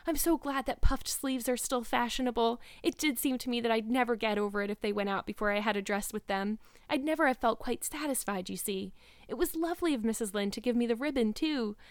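Storybook heroine voiced by a woman: gushing, rapturous little girl, pitched high, dreamy and exclamatory. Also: dry recording, clean studio sound.

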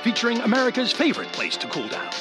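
A male commercial announcer delivers a retro promotional script with salesy emphasis. His pitch is lively and he stretches out slogans. Clear music plays behind him. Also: quick pace. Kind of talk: retro shopping mall promotional narration.

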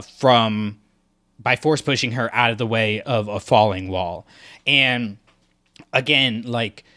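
A man's voice: medium pitch, bright, forceful tone. A man co-hosting a podcast, talking things through in a rambling, thoughtful way with fillers and restarts. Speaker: podcast co-host. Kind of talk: casual discussion podcast.

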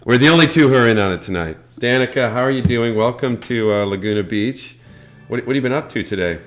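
A middle-aged or older man hosting a casual podcast interview in a jovial, somewhat halting way. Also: medium pitch, expressive, faint music behind. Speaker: podcast host.

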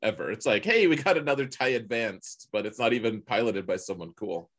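A man with a fairly low, husky voice talks casually as a gaming podcast co-host. His phrasing is colloquial and opinionated, like relaxed shop talk between players. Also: a little fast. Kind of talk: casual chat about a mobile game.

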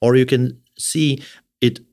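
A man's voice: mid-range voice, steady tone, darkish tone, dry recording, studio quality. A middle-aged man with a German accent, a guest in a casual podcast interview, speaking with frequent fillers and restarts. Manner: halting, many fillers and restarts. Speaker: orthotics specialist guest.

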